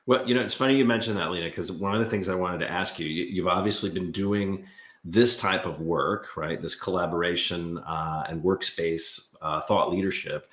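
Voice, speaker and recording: A man's voice: deep voice, moderate pace. A man hosting a podcast interview, introducing his guest and asking questions with an enthusiastic manner. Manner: enthusiastic, lively delivery. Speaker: podcast host. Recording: roomy echo.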